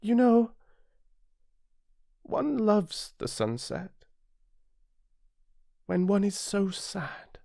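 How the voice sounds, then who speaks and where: Man voicing a high-pitched, wistful little British boy prince. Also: sing-song, very slow, gravelly, long pauses, no room sound, studio quality, heavily acted. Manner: earnest, questioning, gentle. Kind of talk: storybook character voice.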